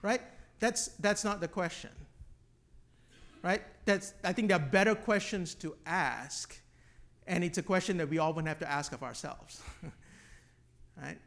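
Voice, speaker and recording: Guy from malaysia teaching a church class, pretty high voice, stumbles and repeats words, says right? a lot. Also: fairly bright tone. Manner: halting, often repeats words and tags phrases with "right?". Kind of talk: informal teaching with questions from the group.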